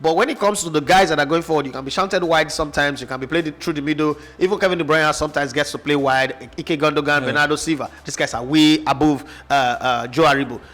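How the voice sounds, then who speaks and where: A man's voice: fairly high pitch, fast, few pauses, fairly bright tone. A man with a Nigerian accent hosting a sports show, giving opinionated football analysis and firing off rhetorical questions. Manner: passionate, argumentative, fires rhetorical questions.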